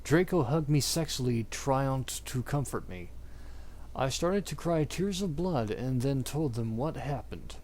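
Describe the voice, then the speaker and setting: A man's voice: medium pitch, animated, unhurried, darkish tone, slight background noise. A man giving an exaggerated dramatic reading of fan fiction, prone to stumbles and breaking up. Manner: theatrical, exaggerated delivery. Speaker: amateur reader.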